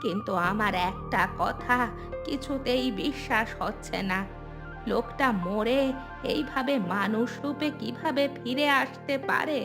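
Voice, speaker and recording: A woman's voice: on the high side, a little slow, over music. A woman playing a worried, fearful village mother in a cartoon, pleading anxiously. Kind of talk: Bengali cartoon voice acting.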